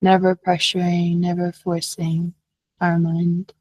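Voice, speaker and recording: A woman leading a guided meditation as a teacher, speaking gently and unhurriedly with long pauses. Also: on the low side, completely flat delivery, breathy edge, no echo, rough recording.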